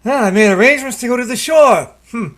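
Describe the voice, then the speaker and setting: A high-pitched male actor's portrayal of an ailing husband in his fifties, in old-time radio drama style. He is genial and expansive in manner, with a hesitant, theatrical delivery. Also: fast, fairly bright tone. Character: ailing, hearty, generous husband of fifty-five.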